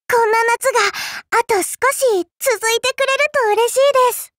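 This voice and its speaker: A woman's voice: extremely high pitch, slow pace, a bit bright, exaggerated voice. A woman voicing a mischievous, teasing little girl villainess in a mobile game, coy and polite with playful menace. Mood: cheerful, wistful. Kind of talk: Japanese mobile game character voice lines.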